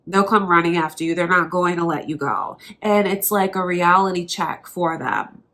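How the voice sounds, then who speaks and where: A woman's voice: on the low side, normal speed, sharp tone. A woman with a blocked, nasal voice from allergies, giving a tarot reading straight to her viewers in an earnest, reassuring way. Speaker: tarot reader.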